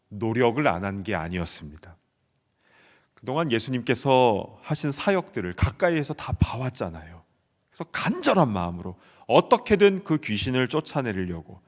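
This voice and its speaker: Man, 40s, from South Korea, pastor, sermon, earnest storyteller, lowish voice, long church reverb. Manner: earnest storytelling, shouting out quoted soldier replies. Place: church sanctuary.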